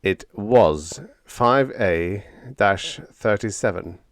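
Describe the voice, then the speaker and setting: A man voicing a polite, solemn, smug android rebel in an audiobook, speaking in a measured way. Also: fairly low pitch, dry recording. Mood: calm, smug. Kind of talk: audiobook character dialogue.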